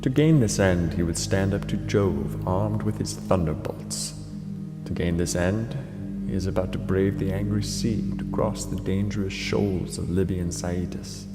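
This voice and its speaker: An actor playing a vengeful king tormented by rage in a classical tragedy, declaiming gravely and theatrically. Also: low voice, measured pace. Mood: scheming, cold. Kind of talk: classical tragedy monologue, declaimed audio drama. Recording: long hall reverb, music underneath. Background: dramatic music.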